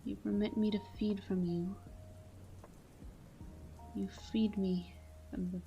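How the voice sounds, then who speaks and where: A woman's voice: medium pitch, flat delivery, slow, creaky, highs cut off, soft background music. A woman playing a caring, tormented vampire lover in an intimate ASMR roleplay, murmuring tenderly and slipping into whispers. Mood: tender, haunted. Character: caring, tormented female vampire lover watching her sleeping partner.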